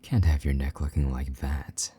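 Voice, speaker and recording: A man playing a possessive, condescending vampire knight in an intimate roleplay, taunting and commanding in a husky, breathy half-whisper. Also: a bass voice, little pitch movement, moderate pace, mellow, slight background noise. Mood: teasing, smug. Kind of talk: intimate roleplay audio drama.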